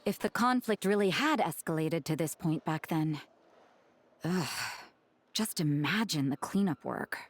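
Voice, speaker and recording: A woman playing a composed, busy, practical comrade in a video game, speaking in a measured, dry way. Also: low-pitched, expressive, slight background noise. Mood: weary, dry.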